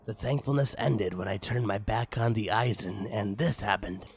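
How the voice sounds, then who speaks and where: A man's voice: lowish voice, normal speed, slightly rough. A man giving a comedic, mock-dramatic creepypasta narration with crude, swooping emphasis. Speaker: narrator, online story reader.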